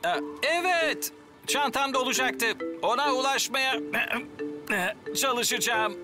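A man voicing a hesitant, good-natured outdoorsy adventurer in a children's cartoon, drawing out his words. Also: very high-pitched. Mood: strained. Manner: hesitant, drawn-out. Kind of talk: animated children's cartoon dub. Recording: music behind the voice.

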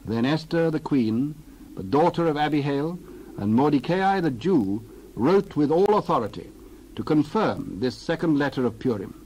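A man's voice: fairly high pitch, expressive, a little mellow, rough recording. Man reading scripture over audible noise. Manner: measured, solemn delivery with deliberate phrasing. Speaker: Bible narrator.

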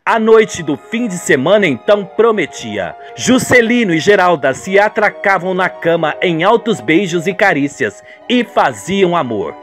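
Brazilian man, high-pitched, very expressive, playful dramatic radio soap narrator, music behind. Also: a little slow, few pauses. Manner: playful storyteller addressing listeners.

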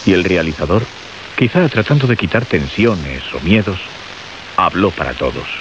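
A man's voice: low voice, expressive, moderate pace, background noise. A man narrating a suspense radio drama as a grave storyteller. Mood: grave, suspenseful. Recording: dull radio recording under loud music.

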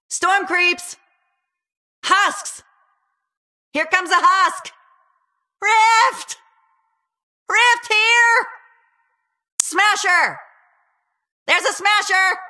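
A lovestruck warrior heroine from a video game. Her voice is high and bright, and she takes her time over short, dramatic lines. The delivery is playful and flirty. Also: full character voice. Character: playful, lovestruck winged female warrior hero.

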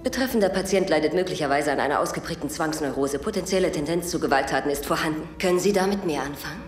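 An actress playing a confident, flirtatious psychologist in a radio play. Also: female voice, low-pitched, fast, close to an everyday voice. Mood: poised. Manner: poised, teasing. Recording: music playing behind the voice.

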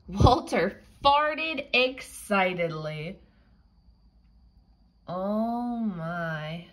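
A woman's voice: medium pitch, a little hiss or hum. A woman reading a picture book aloud expressively for children, explaining as she goes like a teacher. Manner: slow, animated, explanatory storytelling. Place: indoors, picture book filmed from above.

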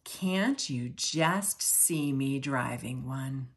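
A woman's voice: rumbling low, expressive, drawn-out words, slightly rough, voice pushed down, heavily acted. A woman voicing a cocky, argumentative pigeon in a picture book, with a sassy, easily indignant manner. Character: cocky, argumentative cartoon pigeon who loves vehicles.

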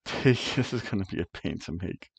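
A man's voice: deep voice, creaky, breathy edge. A middle-aged man hosting a wrestling review show, chatting casual predictions to camera from a small home room on a headset mic, playful and rolling his R's on names. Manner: playful, rolls R's on wrestler names, hums dramatic tunes. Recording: dull lo-fi dry sound.

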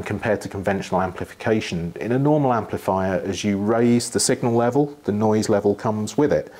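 A middle-aged man with a husky voice, giving a technical explanation in an interview, pausing thoughtfully as he phrases things. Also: fairly low pitch, darkish tone. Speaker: loudspeaker engineer being interviewed. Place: living room with wood panelling and large window.

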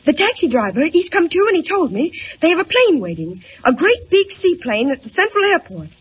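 Anxious young daughter, extremely high voice, melodramatic, faintly hissy vintage radio. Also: female voice, sing-song, a little fast. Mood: puzzled, eager. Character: anxious, impulsive young woman, devoted daughter. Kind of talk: 1940s radio acting. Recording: dull, hissy 1940s radio recording.

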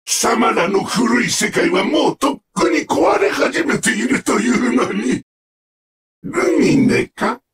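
An actor playing a sadistic, mocking combat-robot villain in a Japanese video game, with sneering, theatrical, drawn-out taunts. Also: male voice, very high voice, sing-song, a bit bright, far from the mic, exaggerated voice.

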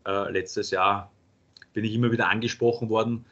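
A paramedic lecturer with an Austrian accent presenting a webinar, pausing often with fillers. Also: male voice, on the low side, steady tone, fairly bright tone. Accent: Austrian German. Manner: halting, many filler sounds. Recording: dull lo-fi webinar audio.

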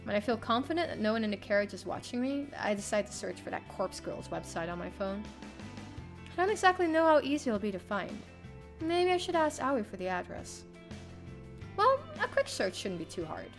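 A young woman streamer reading a visual novel aloud in an expressive, theatrical first-person narration. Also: mid-range voice, fairly quick. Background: soft game music.

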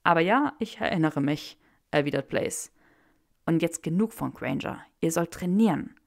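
A woman's voice: low voice, animated, normal speed, gravelly. A woman voicing a weary, reluctant young male rebel in a German fan fiction reading. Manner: sighing, resigned.

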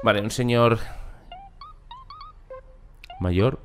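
A man in his thirties with a Spanish accent from Spain, reacting on stream with incredulous, animated commentary that jumps to loud outbursts. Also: mid-range voice, extremely slow, a little hiss or hum. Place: small home studio room. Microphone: large studio mic close up, headphones on. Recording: faint music under the voice. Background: reggaeton music playing.

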